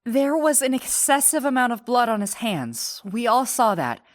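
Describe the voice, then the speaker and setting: Woman acting composed, logical young investigator, lively pitch. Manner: measured, methodical explaining. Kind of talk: audio drama acting.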